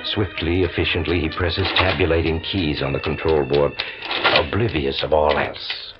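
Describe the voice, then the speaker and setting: A man playing a sinister yet genial storyteller, narrating a 1950s radio mystery in a measured, ominous way. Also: rumbling low, little pitch movement. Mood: measured, suspenseful. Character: sinister, genial male storyteller of strange, terrifying tales. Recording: muffled old radio recording, loud music.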